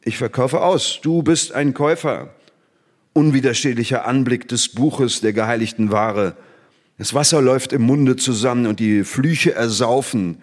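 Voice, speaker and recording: An older man acting a disgraced, bitter old scientist in a radio play, lecturing in a deliberate, declamatory way. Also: mid-range voice, big-room sound, natural voice. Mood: bitter sarcasm. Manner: declamatory, deliberate rhetorical phrasing.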